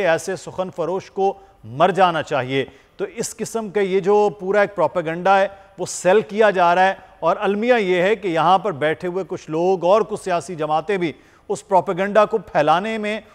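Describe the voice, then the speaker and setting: A man with a Pakistani accent hosting a TV news talk show in a formal, wordy way. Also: sits high. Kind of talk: news presenting, formal interviewing. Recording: long reverberant hall-like echo.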